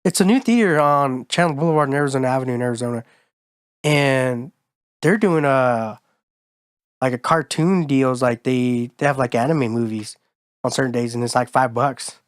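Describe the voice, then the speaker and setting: A man co-hosting a podcast in casual chat, his voice hoarse from being sick, talking in a laid-back, rambling, slangy way. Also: medium pitch, normal speed, no echo, studio quality. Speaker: podcast co-host.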